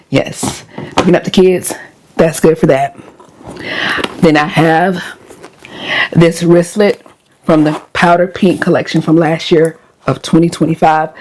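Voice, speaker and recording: A woman vlogger with a hoarse voice, chatting casually and directly to her viewers as she shows what is in her bag. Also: fairly low pitch, a little mellow. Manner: chatty, talks straight to viewers. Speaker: lifestyle vlogger.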